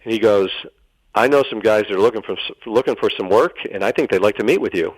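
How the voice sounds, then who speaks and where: A man's voice: lowish voice, fast, no echo, rough recording. A middle-aged man telling a personal story as a radio interview guest, earnest and dramatic, acting out the dialogue and repeating phrases for emphasis.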